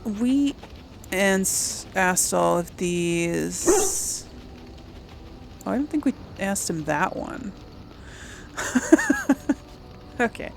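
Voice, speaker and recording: A woman's voice: medium pitch, wildly swooping pitch, drawn-out words, vocal fry, pauses often, noisy background. A woman hosting a casual let's play, chatting to viewers and thinking aloud in a tentative way. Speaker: gaming video host and player.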